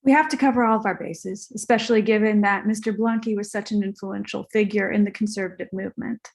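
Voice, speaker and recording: A woman's voice: mid-range voice, steady tone, quick pace, gravelly, lightly acted. A woman playing a businesslike, persistent homicide detective in a comedy table read. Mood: inquiring.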